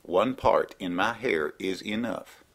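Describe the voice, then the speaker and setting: A man's voice: low voice, slow pace, exaggerated voice. A man playing an unflappable, obtuse and folksy rustic in an absurdist radio comedy. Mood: pedantic. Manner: folksy, deadpan wordplay. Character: obtuse, unflappable rustic country buddy, cheerfully pedantic.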